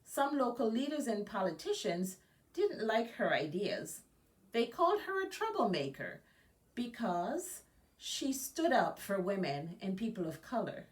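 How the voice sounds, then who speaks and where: A woman reading a children's picture book aloud, phrasing deliberately and stressing key words. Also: mid-range voice, very animated, far from the mic. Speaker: guest storybook reader.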